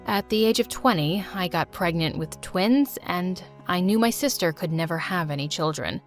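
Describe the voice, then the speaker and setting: A woman's voice: on the low side, animated, moderate pace, faint music behind. A woman voicing a grown mother who gave up her twins, speaking earnestly. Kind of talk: scripted storytelling dialogue.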